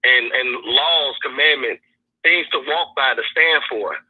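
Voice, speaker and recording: A man calling in to a podcast over the phone, speaking earnestly and haltingly with many fillers. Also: medium pitch. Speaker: guest calling in. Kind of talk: casual podcast conversation. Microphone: phone call. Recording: tinny phone line, husky.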